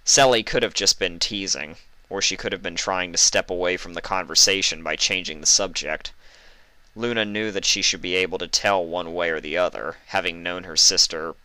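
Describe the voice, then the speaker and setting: A man narrates a written story in a very low voice. The tone is forceful and clear, with crisp articulation. He sounds close to the microphone, with hardly any room sound. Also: steady tone, normal speed, dry recording, rough recording. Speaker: fan fiction narrator.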